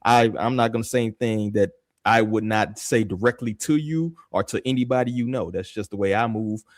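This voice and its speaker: A man's voice: fairly low pitch, fairly quick, dry recording, clean studio sound. A man, hosting a podcast in casual street slang, often checking in with "you feel me.". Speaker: podcast host. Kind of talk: casual chat.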